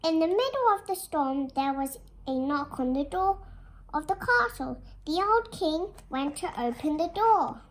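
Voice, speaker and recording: A child reading a fairy tale aloud in a careful, expressive storytelling manner, as a storybook narrator. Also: high voice, measured pace, poor audio. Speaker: storybook reader.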